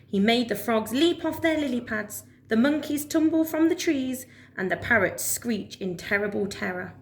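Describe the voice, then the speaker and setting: A woman reading a children's story aloud as a storyteller, with expressive narration. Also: fairly high pitch, moderate pace, slight background noise.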